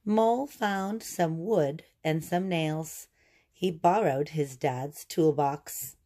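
A woman reading a children's picture book aloud and explaining it in a deliberate, teacherly way. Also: low voice, dark tone.

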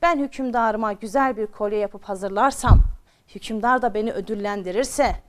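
A woman's voice: medium pitch, moderate pace, natural voice. An eager storybook craftsman with dreams of riches, voiced by a woman. He sounds obliging and wishful. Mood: hopeful, dreamy.

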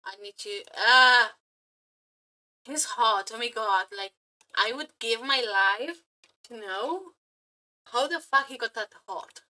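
A woman streamer chatting casually to viewers in a gushing, flirty fangirl manner, halting and repeating her words as she goes. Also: on the high side, sharp tone, low-quality recording. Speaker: aspiring VTuber and streamer. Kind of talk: casual reaction chat to viewers.

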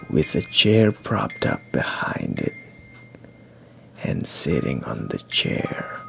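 A man storyteller giving a dramatic reading of a scary story in a creaky, whispery voice over music. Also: rumbling low, fairly bright tone. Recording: music behind the voice. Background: chiming mallet music.

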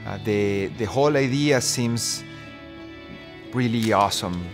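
A man's voice: fairly low pitch, measured pace. A man in his thirties with a Colombian accent, a systems engineer explaining his project earnestly in an interview. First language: Spanish. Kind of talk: documentary interview. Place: indoor maker workshop. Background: background music.

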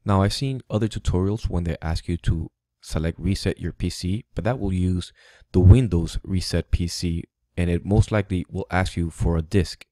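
A man narrates a computer how-to in a plain, instructive way, pausing now and then with an um. His voice sits very low and sounds dark and relaxed. The recording is dry, close on a desk microphone. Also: dry recording. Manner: plain, instructive, slightly halting. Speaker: tutorial host. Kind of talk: step-by-step tech tutorial voice-over. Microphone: USB studio microphone.

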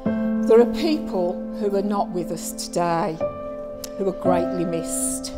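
Slow-reading mother of the bride. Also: on the low side. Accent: English. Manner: slow, careful, heartfelt. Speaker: mother of the bride giving a speech. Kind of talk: reading a wedding speech. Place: glass-walled wedding reception room. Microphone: handheld mic. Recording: hall reverb with music under it.

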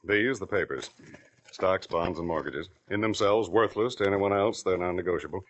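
A man's voice: low voice, normal speed. An actor playing an overbearing, shady American businessman of about forty, curt and domineering, in an old radio crime drama. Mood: brusque. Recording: dull vintage radio recording.

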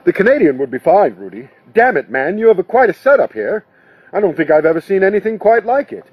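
A man reads the part of a friendly showman from an audiobook novel. He is breezy and wisecracking, with a husky, slightly high male voice. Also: very animated, fast, no room sound, highs cut off, full character voice. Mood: impressed, quipping. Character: affable, wisecracking male banjo entertainer, eager to help.

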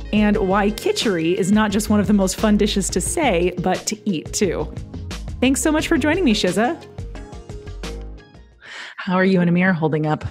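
A woman's voice: mid-range voice, darkish tone. A woman hosting a podcast, chatting with a guest in an enthusiastic, chatty way. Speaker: podcast host. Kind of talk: casual interview chat.

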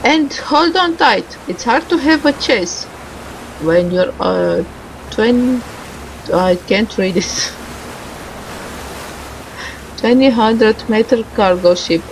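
A woman's voice: on the high side, lively intonation, takes it slowly, vocal fry, pauses often, a little hiss or hum, low-quality recording. A woman doing casual game commentary, reading a visual novel's dialogue aloud and stumbling over some words with self-corrections and asides. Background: music.

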